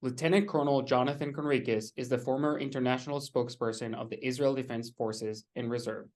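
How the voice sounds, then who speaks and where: A man's voice: medium pitch, fairly even intonation, quick pace, darkish tone. A young man hosting an event, formally reading an introduction and audience questions. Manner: formal, reads from notes. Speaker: event host.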